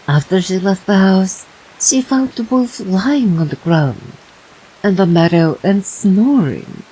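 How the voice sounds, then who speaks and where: A woman streamer gently narrating fairytales for bedtime in an unhurried way. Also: mid-range voice, slightly rough, low-quality recording.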